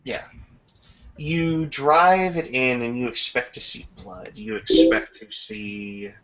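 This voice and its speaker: A man acting as game master, narrating a tabletop roleplaying scene and its rules in halting, improvised phrases over a lo-fi voice chat. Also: medium pitch, lively intonation, a bit bright. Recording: dull lo-fi voice chat.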